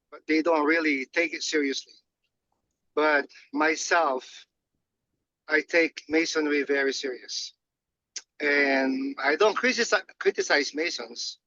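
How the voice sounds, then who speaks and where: A man from the Philippines calling in from his car, talking casually and haltingly with many fillers and restarts. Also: fairly high pitch, pushed and bright. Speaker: guest on a call. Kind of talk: casual chat. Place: in a moving car. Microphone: phone call. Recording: dull lo-fi call audio.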